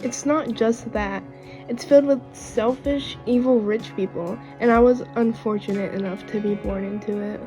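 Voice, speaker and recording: A woman voicing an earnest, wounded runaway girl with strange powers in an amateur animated series. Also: fairly high pitch, normal speed, lightly acted. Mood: earnest, pained. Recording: dull lo-fi mic under faint music.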